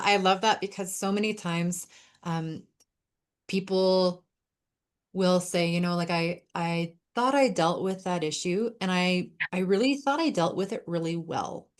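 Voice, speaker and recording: A woman's voice: lowish voice, measured pace. Woman host, laid back and thoughtful, chatting with a guest. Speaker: podcast host. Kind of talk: casual interview conversation.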